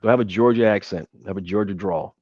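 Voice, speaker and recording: Low-voiced man with Midwestern accent. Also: racing, a little mellow, no echo. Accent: Midwestern American. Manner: rambling, with many restarts and hesitations. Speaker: podcast guest. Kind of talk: casual podcast chat. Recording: dull, dry sound.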